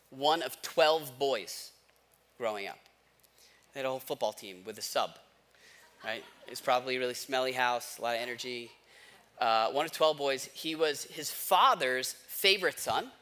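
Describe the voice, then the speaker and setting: A man's voice: mid-range voice, bright, forceful tone. An American man preaching a sermon as a pastor, telling stories informally with wry asides and speaking directly to his listeners. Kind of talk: conversational storytelling sermon.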